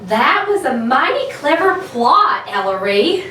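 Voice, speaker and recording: A woman playing an excitable, quick-witted young female sleuth in an amateur radio mystery reading. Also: high-pitched, heavily acted. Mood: curious. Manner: excitable, insistent. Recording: echoey room, distant mic, faint noise.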